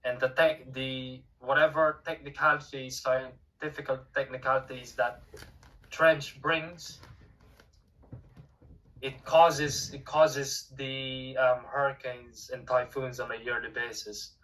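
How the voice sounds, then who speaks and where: A young man whose accent mixes Cork and Filipino, talking as a podcast guest and often pausing with fillers and restarts. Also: mid-range voice, little pitch movement, a bit bright, recorded from a distance, poor audio. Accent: mixed Cork and Filipino. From Ireland. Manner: hesitant, many fillers and restarts. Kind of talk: casual conversation.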